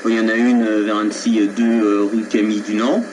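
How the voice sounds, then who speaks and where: A man making a prank phone call in a hesitant, halting way, keeping a deadpan, earnest tone. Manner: hesitant, halting, feigned seriousness. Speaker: prank caller. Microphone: telephone line. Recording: noisy low-quality telephone recording from 2001.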